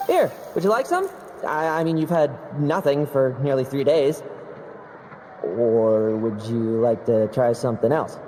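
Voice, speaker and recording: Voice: mid-range voice, very animated, a little slow, mellow, slight background noise, poor audio. An actor voicing a cunning, cheerful and mischievous young knight in a video game, with a theatrical, playfully smug way of talking. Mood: teasing. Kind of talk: video game character acting.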